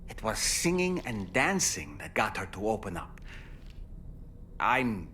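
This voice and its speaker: A man's voice: fairly low pitch, sing-song, slow, pushed and bright, slight background noise, exaggerated voice. An actor voicing a musing, wry male living doll companion in a video game, talking conversationally. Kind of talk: video game character monologue.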